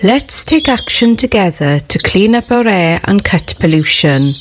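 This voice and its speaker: A woman reading a public information advert in a calm, earnest way. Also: mid-range voice, a little slow, noisy background.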